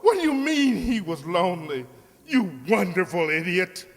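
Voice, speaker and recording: Blustering high-voiced male boss played by an actor. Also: big pitch swings, moderate pace. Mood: amazed. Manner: blustering, exclamatory. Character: excitable, exasperated male boss who owns a racehorse. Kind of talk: old-time radio comedy acting. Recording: crowd noise behind.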